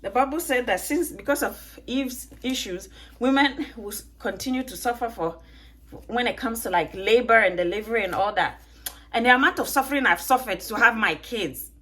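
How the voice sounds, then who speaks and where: A woman's voice: a little high, moderate pace, a bit bright. Chatty woman with a mixed Ghanaian-Italian accent. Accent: mixed Ghanaian, Italian, British and American. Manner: chatty, joking, laughs often, asks viewers questions. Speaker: vlogger. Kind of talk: casual chat to camera while detangling hair. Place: at home.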